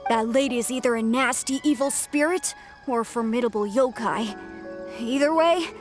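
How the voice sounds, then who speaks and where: A small female yokai played by a woman for an anime-style game, with a high, youthful voice. She is earnest, polite and eager to help, with music clearly behind her. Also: normal speed, close to an everyday voice. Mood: polite, worried.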